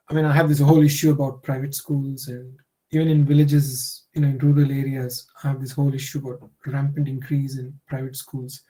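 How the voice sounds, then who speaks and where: A man's voice: on the high side, dark tone, low-quality recording. A man, a Telugu speaker, giving a workshop lecture in a conversational way, full of "you know" fillers. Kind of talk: online lecture.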